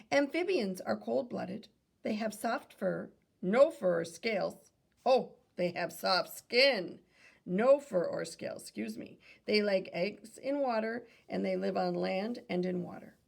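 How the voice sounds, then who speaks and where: A woman reads a children's fact book aloud in a medium-pitched voice with noticeable vocal fry. She sounds like a teacher, posing questions, adding asides and correcting herself as she goes. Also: lively intonation, a little slow. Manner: teacherly, asks questions and answers them, self-correcting. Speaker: read-aloud reader and teacher.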